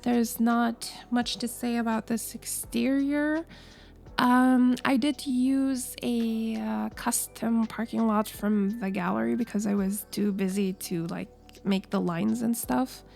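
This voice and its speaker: A hoarse woman, recovering from a cold, casually narrating a game building video in a chatty, rambling way. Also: on the high side, darkish tone. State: hoarse from illness. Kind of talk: casual voiceover.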